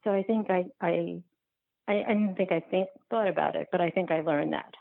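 A woman's voice comes through a narrow phone-like connection, fairly low with a creaky edge. She answers as an interview guest, reflective and halting. She often rephrases as she thinks out loud. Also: no echo. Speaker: guest, documentary filmmaker. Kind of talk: reflective podcast interview conversation. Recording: thin, phone-like line.

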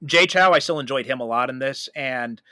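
A man's voice: medium pitch, brisk, pushed and bright, no echo. A man hosting a podcast, talking in a casual, conversational way. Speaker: podcast host.

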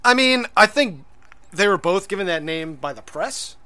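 A man's voice: sits high, lively intonation, moderate pace, pushed and bright, clean tone. A man co-hosting a podcast in casual chat, rambling and hesitant, full of fillers and restarts. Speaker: podcast co-host.

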